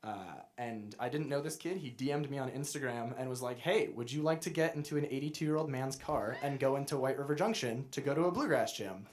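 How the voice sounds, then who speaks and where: A young man from Montana, a guest musician in a casual interview, joking about himself in a dry, deadpan way. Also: medium pitch, brisk, hardly any pauses. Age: young adult. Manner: dry, self-mocking deadpan humor.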